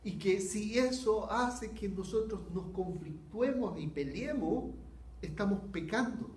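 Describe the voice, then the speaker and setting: A Chilean man preaching a sermon, earnest and unhurried, asking his listeners rhetorical questions. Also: sits high, darkish tone, slight background noise. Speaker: pastor. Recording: echoey hall.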